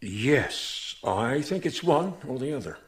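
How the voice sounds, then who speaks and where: An actor playing a worried, candid manager of a struggling theater in a radio mystery, talking in a chatty, slightly hesitant way. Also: male voice, medium pitch, sing-song, slow. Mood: doubtful. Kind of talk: radio mystery drama acting.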